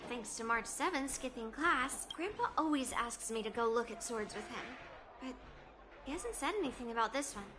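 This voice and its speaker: Woman voicing a perky, high-pitched young girl. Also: lively intonation, moderate pace, background noise, lightly acted. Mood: perky, eager. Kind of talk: video game character dialogue. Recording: music behind the voice.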